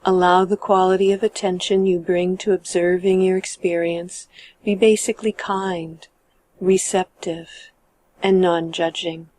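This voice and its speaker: A woman guiding a meditation in a calm, measured and gentle manner, letting her sentence endings trail off. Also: fairly low pitch, no echo. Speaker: meditation guide. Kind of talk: guided meditation narration.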